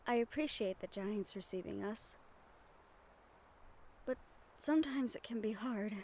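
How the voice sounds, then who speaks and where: Woman acting a soft-spoken, earnest young mage. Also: medium pitch, a little hiss or hum, close to an everyday voice. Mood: apologetic, quiet. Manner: soft-spoken, measured, with thoughtful pauses. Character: gentle, earnest magic-wielding young woman, ward of a general. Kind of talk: audio roleplay acting, addressed to the listener. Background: ambient sound effects.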